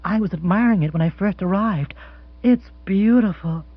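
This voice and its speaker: A gushing, effusive wealthy lady guest, as a male audiobook reader puts her on. The husky voice rises high and bubbles along. The recording sounds dry and dull. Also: normal speed, no room sound. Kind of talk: audiobook character voice.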